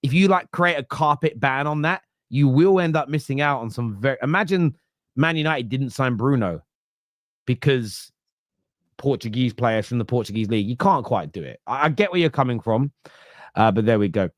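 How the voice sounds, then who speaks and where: A man hosting a football podcast, casual chat that keeps correcting itself and counting stats out loud. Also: mid-range voice, expressive, no echo, clean studio sound. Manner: rapid, self-correcting, counts stats aloud. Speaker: podcast host.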